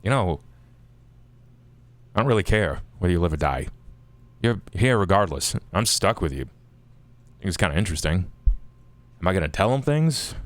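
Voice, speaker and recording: Deep, cool, cagey schemer played by a man. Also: no room sound. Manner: unhurried, dry, casual. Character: cool, cagey, detached schemer who needles back.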